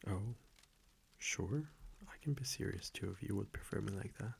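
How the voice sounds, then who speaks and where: Man acting a deep, creaky vampire boyfriend. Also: normal speed, slight background noise. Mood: playful, tender. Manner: soft, hesitant, teasing, chuckling between phrases. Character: affectionate centuries-old vampire boyfriend, teasing and gentle. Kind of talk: intimate ASMR audio roleplay.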